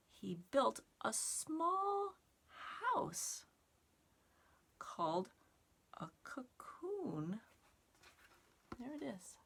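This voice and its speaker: A woman reading aloud to young children in simple, expressive, word-by-word phrases. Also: medium pitch, gravelly. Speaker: storytime reader and sign language teacher. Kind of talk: children's read-aloud and sign lesson.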